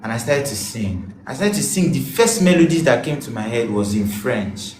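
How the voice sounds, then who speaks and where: A singer-songwriter man chatting as an interview guest, animated and breaking into song as he talks. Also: medium pitch, moderate pace, background noise. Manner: animated, bursts into song mid-sentence. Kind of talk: casual chat. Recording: roomy echo.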